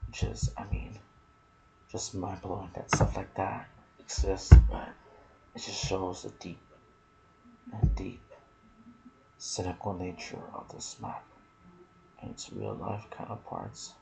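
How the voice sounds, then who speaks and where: Low, creaky-voiced man in soft ASMR commentary. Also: slow pace, long pauses, slight background noise, low-quality recording. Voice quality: soft, breathy, partly whispered. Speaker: gaming video creator and host. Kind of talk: ASMR gaming commentary mixed with casual chat.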